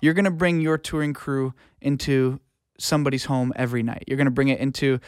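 A man's voice: medium pitch, steady tone, brisk, clear, resonant tone, dry recording, studio quality. A man talking casually as an interview guest, earnest and colloquial, like an audio engineer sharing experience.

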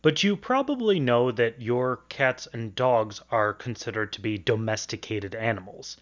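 A man's voice: mid-range voice, animated, measured pace. A young adult man with a mid-range voice hosts a radio interview show. He presents in a conversational way, as a graduate student talking to listeners. The sound is a little dull, with the top end trimmed.

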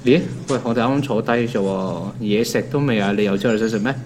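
A man's voice: fairly low pitch, quick pace, a little mellow, slight background noise, close to an everyday voice. An actor with a Hong Kong accent playing an easygoing man in his twenties, chatty and given to sighing, in a naturalistic audio drama. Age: 20s. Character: easygoing young man, sighing over his demanding girlfriend.

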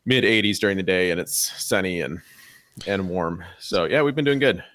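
A man's voice: deep voice, lively intonation, moderate pace, a bit bright. A man appearing as a guest on a podcast in casual chat, joking and laughing often.

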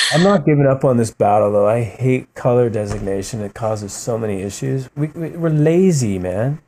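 A man speaking with a mellow, warm tone at a middle pitch. He talks passionately and casually, rambling through his points and leaning on "man" and "you know". He slips into quoted voices as he tells stories. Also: normal speed, a little hiss or hum. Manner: animated storytelling with voiced quotes. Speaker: podcast guest, musician and writer. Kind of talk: casual interview talk.

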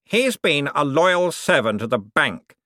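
A man voicing a vain, scheming rich young man who talks in a smooth, self-satisfied, dreamy way. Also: a little high, very animated, measured pace, pushed and bright, no room sound, clean studio sound, pitched above the performer's own voice, full character voice.

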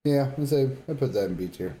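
A man's voice: mid-range voice, expressive, a little fast, warm tone. A man co-hosting a podcast, talking casually about games in a blunt, emphatic, opinionated way. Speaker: podcast co-host. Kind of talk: casual gaming banter and debate.

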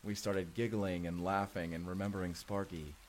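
Low-voiced earnest young man, acted, noisy recording. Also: flat delivery, moderate pace, close to an everyday voice. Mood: nostalgic. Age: young adult. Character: earnest, secretive young man from the future named Benji. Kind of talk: immersive audio drama roleplay acting.

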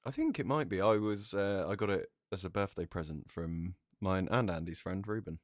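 Deep-voiced man in casual chat, dry sound. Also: normal speed, no room sound. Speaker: podcast co-host.

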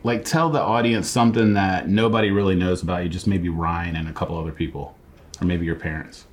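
A man with a fairly low voice hosts a casual podcast interview. He jokes and teases his guest in a playful way. His questions come out loose and chatty. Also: steady tone, a little fast, slight background noise. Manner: joking, playful teasing. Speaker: podcast host.

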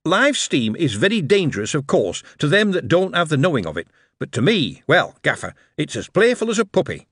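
A man's voice: on the high side, huge pitch swings, brisk, hardly any pauses, a bit bright, husky, dry recording, studio quality, voice pushed up, full character voice. A man doing an eager northern steam engineer. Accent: Northern English. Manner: eager, earnest, dialect-heavy. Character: cheerful northern male steam engineer, earnest.

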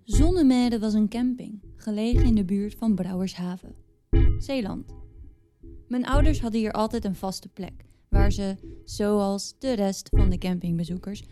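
A woman's voice: medium pitch, unhurried, dark tone. Woman narrating over music. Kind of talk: scripted personal narration.

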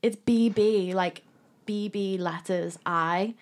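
A woman's voice: medium pitch, slow, no room sound. A woman co-hosting a podcast, presenting and asking questions in an informal, chatty way. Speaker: podcast co-host. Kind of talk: casual conversational presenting.

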